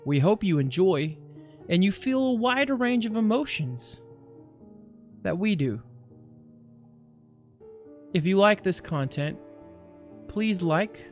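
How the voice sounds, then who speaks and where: A man's voice: sits high, expressive, takes it slowly, pauses often, faint music behind. A man hosting a reaction livestream with casual, jokey, rambling commentary that often interrupts itself. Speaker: livestream reaction show host.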